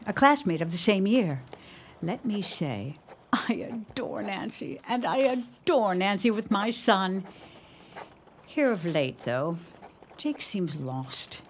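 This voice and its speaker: A woman's voice: mid-range voice, slight background noise, natural voice. A woman playing a devoted middle-aged widowed shopkeeper mother in an audio drama, speaking a letter with tender, earnest, slightly formal period diction. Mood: worried. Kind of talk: audio drama monologue, reading a letter aloud.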